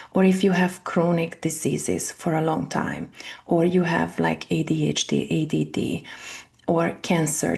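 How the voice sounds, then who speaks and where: A woman from Hungary with a Hungarian accent, speaking earnestly as an interview guest, her phrasing a little halting. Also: fairly low pitch, gravelly, darkish tone. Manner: earnest, slightly halting non-native phrasing. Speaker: guest, family constellation practitioner. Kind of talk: interview conversation.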